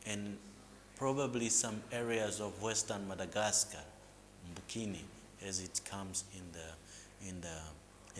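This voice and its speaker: Man, lowish voice, history talk, hesitant fillers, faint ambient noise. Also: a bit bright. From Mozambique. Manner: measured and lecturing, with hesitant fillers and restarts. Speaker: local historian guest. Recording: reverberant room with faint background noise.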